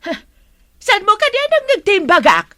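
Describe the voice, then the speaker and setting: A woman's voice: very high voice, huge pitch swings, moderate pace, full character voice. A woman playing a stern, suspicious mother in a radio drama, scolding and interrogating with an Ilocano accent. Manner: sharp, interrogating.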